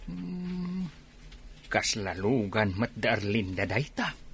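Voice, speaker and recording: A man's voice: fairly low pitch, sing-song, slow, gravelly, full character voice. A man acting an easygoing old village husband and farmer in a radio drama.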